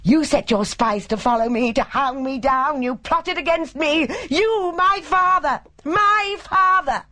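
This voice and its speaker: A narrator voicing a raving, hysterical young man with a high, screaming voice, ranting bitterly in an audiobook story. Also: a little slow, few pauses, sharp tone. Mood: hysterical rage. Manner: ranting, repetitive, theatrical.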